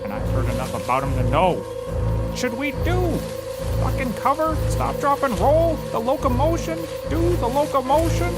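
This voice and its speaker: An actor playing a middle-aged, self-important and bumbling private detective in a scripted comedy. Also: male voice, very high-pitched, big pitch swings, moderate pace, over loud music, heavily acted. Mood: nervous bravado. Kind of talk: scripted comedy acting and narration.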